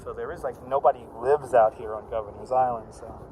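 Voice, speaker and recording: A Puerto Rican man with a naturally loud voice, hosting a casual walking live stream outdoors and greeting viewers by name on a clip-on mic. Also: medium pitch, steady tone, brisk, a little hiss or hum. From Puerto Rico. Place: outdoors on an island in a city. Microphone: wireless clip-on mic. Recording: clear outdoor street ambience.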